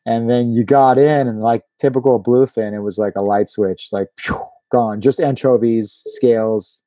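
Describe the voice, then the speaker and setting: Male podcast host, lowish mellow voice, laid-back casual chat, very dry studio-like sound. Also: fairly even intonation, normal speed, no echo.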